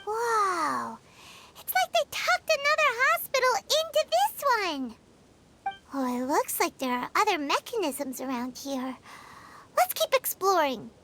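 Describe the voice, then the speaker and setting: A woman voicing a tiny, excitable, childlike fairy companion in an anime game, chatty, whiny and squeaky. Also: measured pace, slight background noise, heavily acted. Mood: amazed. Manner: refers to self in third person, expressive. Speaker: travel guide companion.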